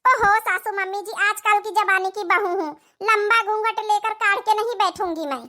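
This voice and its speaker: A woman voicing a squeaky, brash young bride. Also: normal speed, pushed and bright. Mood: haughty. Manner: defiant, sassy, mixing in English words. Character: brash, haughty modern new daughter-in-law. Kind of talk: comedy cartoon dialogue.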